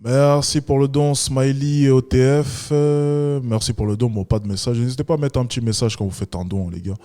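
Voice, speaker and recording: A man hosts a live stream, speaking in an easygoing way as he reacts to a documentary. His voice sits at an ordinary middle pitch. He pauses to choose his words and thanks his viewers now and then. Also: fairly even intonation, dark tone, slight background noise. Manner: laid-back, thanks donors, chuckles while choosing his words. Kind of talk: casual live-stream chat.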